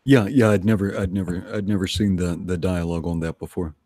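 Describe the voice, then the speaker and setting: A man chatting casually as a livestream guest, halting and rephrasing as he goes. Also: deep voice, fairly even intonation, warm tone, dry recording. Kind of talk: casual chat.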